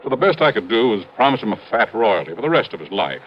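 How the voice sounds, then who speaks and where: An American actor playing a blustering, big-hearted older mine owner in a 1950s radio drama, gruff, emphatic and booming. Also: male voice, sing-song, fast talker. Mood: grieving, grave. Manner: emphatic, gruff, swinging from bellowing to grave. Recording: muffled, noisy old radio recording.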